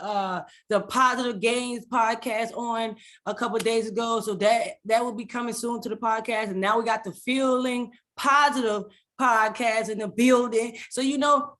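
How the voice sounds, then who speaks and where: Host: woman, slightly high voice, energetic casual delivery, repeats phrases, sometimes stumbles. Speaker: podcast host. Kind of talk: casual podcast hosting with prayer.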